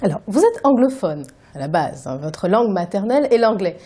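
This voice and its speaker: Woman, TV interviewer, probing, persistent follow-ups, mellow tone. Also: mid-range voice, big pitch swings, a little fast, breathy edge. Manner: probing, presses for answers. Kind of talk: TV news interview.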